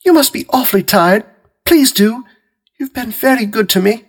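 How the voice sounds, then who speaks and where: A soft, high, pleading young housewife, put on by a husky-voiced man reading a mystery story. She coaxes and begs with a gentle sweetness that hides her cunning, her voice lifting and dipping with lively swings. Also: moderate pace, heavily acted. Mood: sweetly pleading. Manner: coaxing, pleading, falsely gentle.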